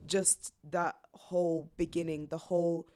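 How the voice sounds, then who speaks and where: Low-voiced young woman, thoughtful guest. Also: flat delivery, unhurried, mellow. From born in Zambia, raised in England. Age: young adult. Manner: thoughtful, earnest, says "like" often. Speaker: podcast guest. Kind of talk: casual reflective interview.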